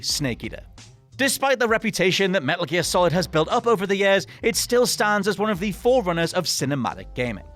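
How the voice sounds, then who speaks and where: A man's voice: high voice, a bit bright. A man presenting a scripted countdown list as a narrator, with an emphatic, dramatic delivery. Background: music under the voice.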